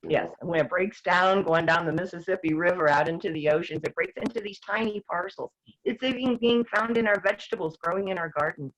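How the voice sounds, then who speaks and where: An older woman talking earnestly as a guest, rambling with restarts, her voice going hoarse. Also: on the low side, fairly quick, fairly bright tone. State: losing her voice, clearing her throat. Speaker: guest activist. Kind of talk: casual talk-show discussion. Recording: dull lo-fi sound.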